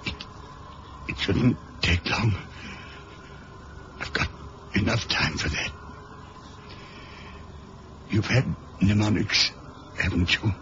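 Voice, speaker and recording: An actor playing a weary, dying agent in a 1970s radio drama, halting and breathy as he struggles for breath. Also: fairly low pitch, a bit bright. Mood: urgent, strained. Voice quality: breathy, croaking. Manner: halting, laboured speech. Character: dying, weary male agent, weak and fading. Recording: dull, noisy old radio recording.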